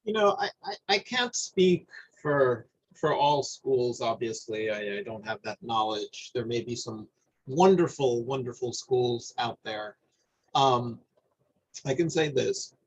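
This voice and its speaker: A middle-aged man from New Jersey talking in a thoughtful, halting way, often repeating words as he searches for them. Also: medium pitch, expressive, husky, dull audio. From New Jersey, USA. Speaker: guest. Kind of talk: reflective conversation.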